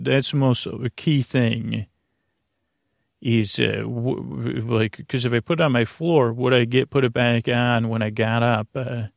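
Creaky-voiced man rambling in halting starts. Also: mid-range voice, dry recording, clean studio sound. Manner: meandering, halting, self-interrupting with frequent stammered restarts. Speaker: podcast host. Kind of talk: rambling bedtime sleep podcast monologue.

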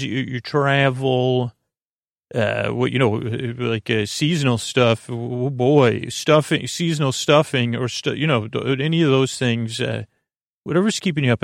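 A man with a gravelly, creaking voice delivers a bedtime podcast monologue. He wanders gently from tangent to tangent, stumbling over filler words and restarts in a soothing way. The sound is close and dry, with very little room around it. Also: mid-range voice, dry recording. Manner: halting, digressive, many false starts and filler words, soothing. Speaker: host of a sleep podcast.

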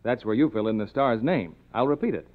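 Animated American man, vintage radio announcer. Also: mid-range voice, fairly quick. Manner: polished, salesmanlike. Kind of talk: 1950s scripted radio commercial. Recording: slightly dull vintage radio recording.

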